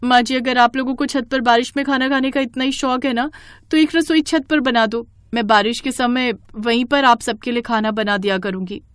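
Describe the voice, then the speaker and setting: Woman voicing a fussy, high-pitched young daughter-in-law. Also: fairly even intonation, normal speed, a bit bright, clear, resonant tone, no room sound, close to an everyday voice. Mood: exasperated. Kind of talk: animated Hindi storybook dialogue.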